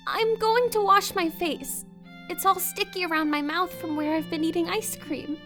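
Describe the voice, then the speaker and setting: A woman's voice: very high voice, steady tone, moderate pace, fairly bright tone. A woman voicing a sulky, proud teenage schoolgirl in a wheelchair for a fan dub, pouting and defensive. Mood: subdued. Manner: pouty and defensive, stubborn. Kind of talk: fan dub of a visual novel. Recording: music playing underneath.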